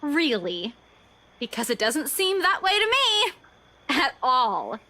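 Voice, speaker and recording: A woman's voice: high-pitched, very animated, slow, exaggerated voice. A woman voicing a frightened young woman in a horror visual novel reading, teasing and pleading. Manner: teasing, then quiet and shaky.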